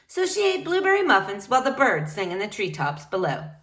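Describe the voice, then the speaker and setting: A woman reading a picture book aloud to young children in an expressive, animated way. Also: medium pitch, a little fast. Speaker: storybook reader.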